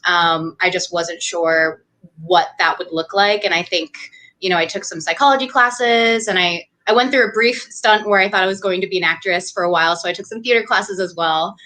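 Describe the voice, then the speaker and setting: A woman's voice: on the low side, a little fast, pushed and bright. A young woman from New Hampshire, a doctoral student talking casually as an interview guest, chatty with frequent fillers and laughs. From New Hampshire, USA. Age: young adult.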